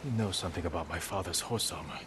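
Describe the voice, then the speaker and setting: Man acting stoic samurai, deepish male voice, formal, restrained, earnest video game hero. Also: quick pace, background noise, lightly acted. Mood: resolute.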